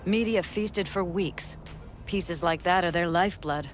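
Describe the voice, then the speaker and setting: A woman's voice: fairly low pitch, unhurried, faint music behind, natural voice. A woman playing a poised, shrewd middle-aged politician's wife in a video game, guarded and businesslike. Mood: guarded, matter-of-fact. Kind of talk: cinematic video game acting.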